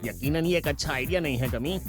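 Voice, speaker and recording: A woman voicing a timid, sceptical young male sidekick in an animated comedy, hesitant, anxious and sarcastic. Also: low-pitched, quick pace, music in the background, natural voice. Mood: sceptical, grumbling. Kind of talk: animated comedy dub acting.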